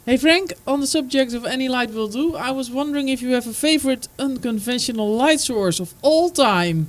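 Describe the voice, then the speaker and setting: A woman with a loud, carrying voice, co-hosting an informal live broadcast and reading viewer questions. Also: high voice, lively intonation, a little fast.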